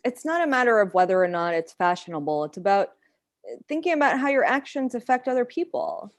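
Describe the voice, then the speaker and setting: A woman's voice: on the high side, very animated, a little fast, dry recording. A woman acting an exasperated, principled girlfriend in a table read, frank and reproachful. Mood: indignant, firm. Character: exasperated, principled girlfriend calling out her partner.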